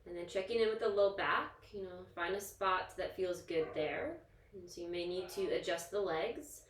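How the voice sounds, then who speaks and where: A woman yoga instructor leading a guided relaxation in a soothing, gentle, informal manner, leaving pauses between instructions. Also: lowish voice, slight background noise. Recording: echoey, roomy sound.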